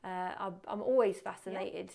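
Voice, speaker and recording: A woman teaching photography in a live webinar, chatty and self-deprecating, muttering quietly to herself as she works at her computer. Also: medium pitch, steady tone, moderate pace, creaky. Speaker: photography instructor. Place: indoors at a computer.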